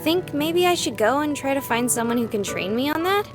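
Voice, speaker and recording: A woman's voice: sits high, expressive, brisk, music in the background. A woman playing a playful, self-doubting young woman in a visual novel game, hesitant and lightly teasing. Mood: thoughtful, teasing.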